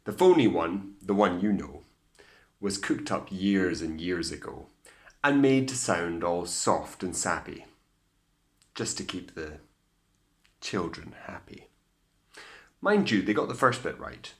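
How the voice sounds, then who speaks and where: A Scottish man reading aloud as a teacher to children, in an expressive, theatrical storytelling style with hushed asides. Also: low voice, slow pace, gravelly, long pauses.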